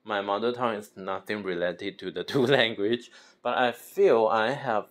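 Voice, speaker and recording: A man from Taiwan, practicing pronunciation slowly and repeating words as he corrects himself. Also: fairly low pitch. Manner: slow, repeats words, self-correcting with soft laughs. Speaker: language learner. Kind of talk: self-recorded pronunciation practice.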